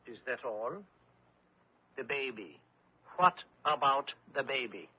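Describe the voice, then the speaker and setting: An actor playing an officious, accusing German army lieutenant in an old-time radio drama, speaking insistently. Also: male voice, medium pitch, takes it slowly, husky. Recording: dull old radio recording.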